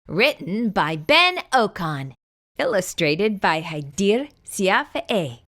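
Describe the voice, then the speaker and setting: A woman's voice: mid-range voice, big pitch swings, slow, fairly bright tone. A woman hosting a children's read-aloud, narrating invitingly and putting questions to young listeners. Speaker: children's story reader and host.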